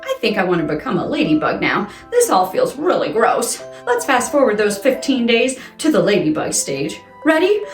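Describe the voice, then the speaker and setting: A woman's voice: mid-range voice, wildly swooping pitch, moderate pace, hardly any pauses. A woman presenting playful science lessons and read-alouds for children in an exaggerated, questioning, exclamatory way. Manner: exaggerated, exclamatory, asks questions of young listeners. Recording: roomy echo with music underneath. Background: music.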